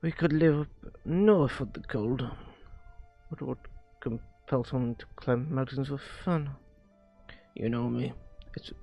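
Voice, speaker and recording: A man with a gravelly, fry-heavy voice reads visual novel dialogue aloud on a stream. He takes it slowly and haltingly, stumbling and rereading lines. Faint music plays behind him. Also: medium pitch, dark tone.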